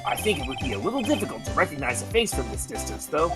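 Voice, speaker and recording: A woman's voice: low-pitched, sing-song, fast, over loud music. A woman on a casual group game stream, reading dialogue aloud and commenting in a joking, sardonic way.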